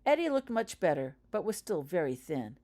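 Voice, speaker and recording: A woman's voice: lowish voice, big pitch swings, moderate pace, a little mellow. A woman reading her own story aloud as scripted audiobook-style narration. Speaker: author reading own fan fiction aloud.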